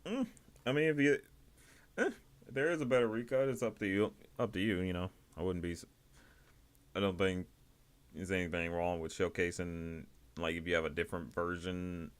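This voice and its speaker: A man hosting a livestream, giving casual, rambling commentary in a halting way, often repeating and rephrasing himself. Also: low-pitched, clean tone. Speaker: livestream host reviewing indie animation.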